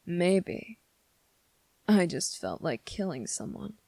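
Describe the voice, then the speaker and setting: An actor playing a brooding, menacing demon with a hidden moral code in an intimate ASMR roleplay, speaking in slow, deliberate, taunting phrases with a gravelly, at times breathy voice. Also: mid-range voice, lively intonation, dark tone, no room sound. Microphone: close, dry microphone.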